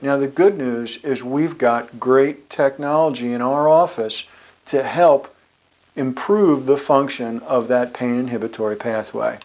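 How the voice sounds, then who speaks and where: A man, a chiropractor, giving an explanatory talk with slides, measured and slowing on key terms. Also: medium pitch.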